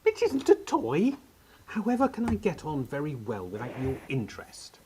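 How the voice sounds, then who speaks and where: A man's voice: a little high, huge pitch swings, normal speed, a little mellow, a little hiss or hum. A man acting a young uncrowned king in a radio play, whining, sarcastic and stubborn. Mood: sulky. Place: actor's home, recorded remotely.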